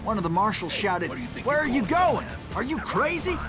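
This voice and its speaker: A man's voice: very high-pitched, sing-song, moderate pace. An actor voicing an eager, hero-worshipping young man in a Western game, narrating earnestly and dramatically with an American accent. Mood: admiring, dramatic. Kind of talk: scripted in-character narration. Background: music.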